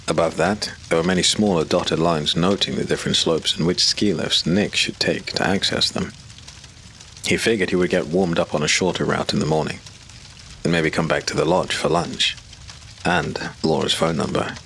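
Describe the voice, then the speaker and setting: A man narrating a dark fantasy audiobook in a steady, measured way. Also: sits low, vocal fry, slight background noise, rough recording.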